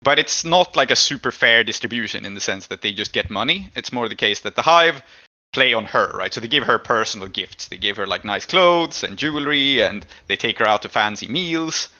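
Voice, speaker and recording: A man's voice: mid-range voice, expressive, a little fast, bright, forceful tone, highs cut off. A man running a tabletop game as game master, improvising the story and thinking aloud as he goes.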